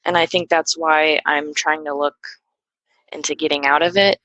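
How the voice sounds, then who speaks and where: A woman's voice: low-pitched, flat delivery, normal speed, a bit bright, no echo, muffled recording. A young woman guest on a podcast, talking casually and hesitantly with lots of fillers and restarts. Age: young adult. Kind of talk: casual conversational interview.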